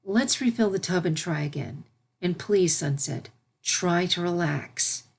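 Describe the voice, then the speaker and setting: A woman voicing a gentle, regal pony princess in a story reading, speaking as a patient and reassuring mentor. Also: on the low side, lively intonation, unhurried, gravelly, slightly rough, heavily acted. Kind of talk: animated fan fiction character reading.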